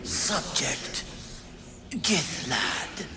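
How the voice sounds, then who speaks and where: An actor playing a reanimated scholar's corpse in a video game, answering in halting, clipped fragments. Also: male voice, a little high, big pitch swings, full character voice. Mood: lifeless, halting. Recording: game music underneath. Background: game music.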